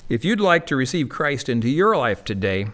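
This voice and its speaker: Man as medium-pitched earnest faith mentor. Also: big pitch swings, normal speed, fairly bright tone. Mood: encouraging. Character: earnest, helpful man sharing his faith. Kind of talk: scripted amateur radio drama.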